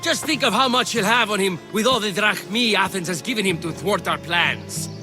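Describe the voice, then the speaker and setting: A man's voice: high voice, moderate pace, music in the background, close to an everyday voice. An actor playing an earnest, formal male Spartan soldier handing out orders in a video game. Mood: formal, assured. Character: male Spartan soldier, urgent, grudging quest giver. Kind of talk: dramatic game cutscene acting.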